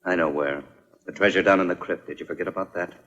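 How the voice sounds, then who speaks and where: An actor dubbing a gruff, sceptical henchman in a period film, husky, dry and sardonic. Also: deep voice, steady tone, quick pace, a bit bright. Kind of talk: dubbed period film acting. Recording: muffled, long hall reverb, music behind.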